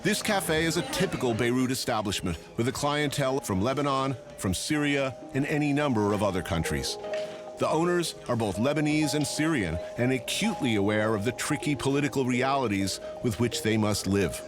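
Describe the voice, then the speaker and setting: A man reading scripted documentary narration in a measured, even way. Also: mid-range voice, music in the background.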